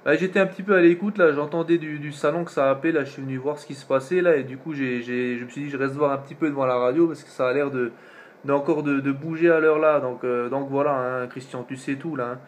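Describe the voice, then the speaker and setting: A man's voice: medium pitch, steady tone, fast. A man chatting casually as an amateur radio operator, colloquial and repetitive, with lots of little tag words. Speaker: amateur radio operator filming his contacts. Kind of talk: casual radio contact chat.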